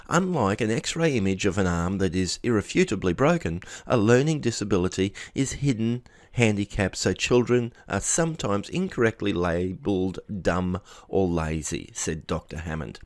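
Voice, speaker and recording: A man narrates a written article in a low voice with very animated intonation. He reads from a script and now and then trips on a word before rereading it. The sound is dry, with hardly any room around it. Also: no echo. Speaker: article reader.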